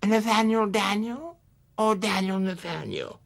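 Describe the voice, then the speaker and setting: A man's voice: high-pitched, measured pace, gravelly, fairly bright tone, heavily acted. An actor playing a short, fat, testy judge, pompous and peremptory as he questions.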